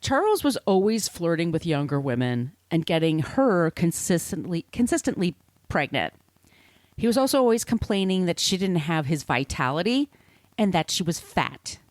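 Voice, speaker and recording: A woman's voice: lowish voice, very animated, moderate pace, a little mellow, dry recording. A woman hosting a podcast, telling a researched story in a conversational, irreverent way with casual swearing. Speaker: podcast host.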